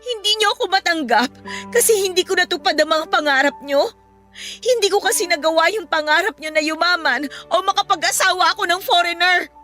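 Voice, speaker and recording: A high, bright voice with music underneath: a young Filipina daughter in a radio drama. She is earnest and easily hurt, emotional and imploring in her delivery. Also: brisk. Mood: anguished, resentful. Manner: pleading, emotional. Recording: music behind the voice.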